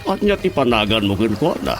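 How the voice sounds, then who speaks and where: A man's voice: on the low side, very animated, a little fast, faint music behind. A man acting an incredulous village priest in a radio comedy drama, with a Filipino accent. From Philippines.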